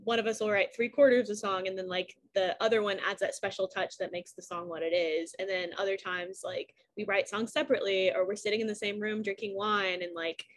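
A woman with a dark, rich tone, talking casually and modestly as an interviewed singer, often saying "like". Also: medium pitch, steady tone, brisk, few pauses. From Southeast Texas, US. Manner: informal, self-deprecating, frequent "like". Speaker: singer and pianist in a sister duo.

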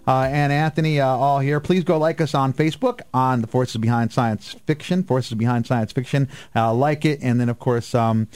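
Man, radio host, fairly high pitch, informal, opinionated, questioning, casual panel chat.

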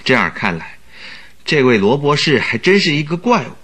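一位男演员在广播剧中饰演侦探的搭档，直率、易激动，常带感叹语气，表演戏剧化。. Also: male voice, a little high, sing-song, normal speed, a bit bright, breathy edge, full character voice. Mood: baffled. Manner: exclamatory, blunt, theatrical. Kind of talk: Chinese radio drama acting.

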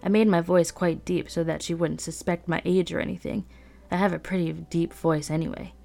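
Lady reading a book out loud on her podcast, lowish voice, chatty wry tone, faint music underneath. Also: fairly even intonation, fairly quick, vocal fry. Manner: conversational, wry storytelling read. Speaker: podcast host reading a novel aloud. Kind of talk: first-person audiobook narration.

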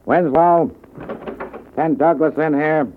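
An American actor playing a hard-nosed, sarcastic police sergeant in a 1940s radio drama. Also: male voice, a little high, fairly even intonation, slow. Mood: sarcastic, tough. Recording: tinny, hissy old radio broadcast.

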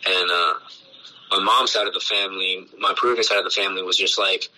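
A man, a punk band's bassist, talking loosely in a casual interview, full of swearing and filler words. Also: steady tone, fast talker, sharp tone, rough recording. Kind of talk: casual podcast conversation.

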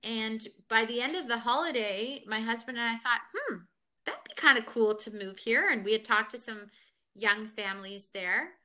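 Bright-voiced woman telling her story. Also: a little high, moderate pace. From Kenya. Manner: reflective storytelling, many fillers. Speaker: guest. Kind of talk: conversational interview.

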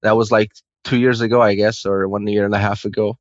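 A man's voice: on the low side, flat delivery, fairly quick, no echo, lo-fi sound. A man from Portugal with a Portuguese accent, speaking conversationally as an interview guest. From Lisbon, Portugal. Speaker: guest, video game character artist.

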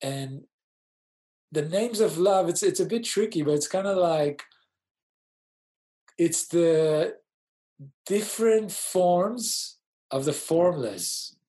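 A man's voice: fairly high pitch, lively intonation, slow pace, pauses often. A man, a musician guest talking in a casual interview and explaining things thoughtfully. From Israel. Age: 40s. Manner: thoughtful, explains gently with many ums.

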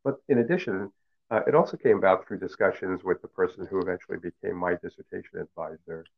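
A middle-aged professor whose first language is Cantonese speaks in a low, gravelly voice. He answers slowly and thoughtfully, with many fillers and restarts. The sound is narrow and tinny, like a phone line. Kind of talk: interview answers.

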